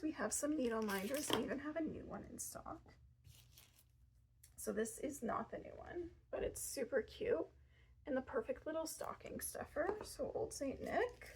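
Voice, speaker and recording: A woman's voice: high voice, a little mellow, a little hiss or hum, low-quality recording. A woman in her thirties presenting gift ideas in a fabric shop, chatty and self-deprecating with casual asides. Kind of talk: casual product showcase. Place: fabric shop in front of fabric shelves.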